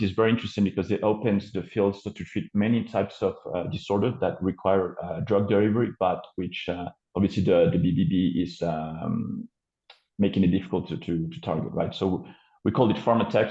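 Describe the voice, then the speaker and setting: A man's voice: deep voice, warm tone. A man with a French accent presenting his company's work on a video call, hesitant, with many fillers and restarts. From France. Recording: muffled roomy call audio.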